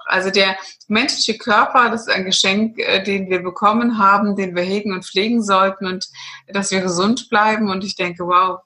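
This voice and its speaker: A woman's voice: mid-range voice, bright, forceful tone. A woman talking earnestly about spirituality in an interview, with many fillers and restarts.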